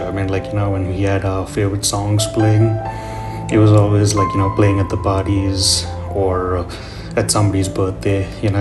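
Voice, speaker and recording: A middle-aged man giving a casual, rambling voiceover in a nostalgic, reflective manner, as host of a drawing tutorial. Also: lowish voice. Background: guitar music.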